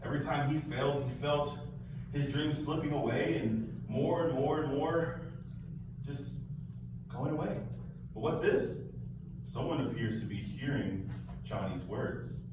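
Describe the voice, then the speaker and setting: A man's voice: medium pitch, a little slow, long pauses. Echoey, playful man telling a children's story. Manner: playful, informal, with hesitations. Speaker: puppet show narrator and storyteller. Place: large echoey room, live performance. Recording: echoey, noisy room, far from mic.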